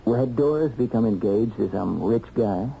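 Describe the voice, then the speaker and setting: Mellow, dogged American investigator. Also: male voice, fairly low pitch, moderate pace, creaky. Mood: suspicious. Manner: probing, hesitant lead-ins, emphatic deductions. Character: dogged, wry male investigator cracking a murder case. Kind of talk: radio mystery drama acting. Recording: dull, noisy old radio recording.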